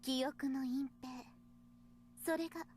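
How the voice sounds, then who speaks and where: A woman voicing a cruel young female mastermind in a Japanese visual novel, gloating with a breathy, drawn-out taunting purr. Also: high-pitched, fairly even intonation, a little mellow, lightly acted. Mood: gloating, amused.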